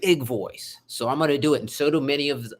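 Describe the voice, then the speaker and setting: Effusive, animated man talking. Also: medium pitch, a little fast. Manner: effusive, animated, swings loud and soft. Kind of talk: energetic interview conversation.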